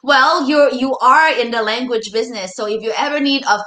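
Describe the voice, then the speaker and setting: A woman's voice: fairly high pitch, fairly quick, sharp tone. A woman hosting a casual livestream over a video call, bubbly and effusive. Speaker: livestream host, image consultant.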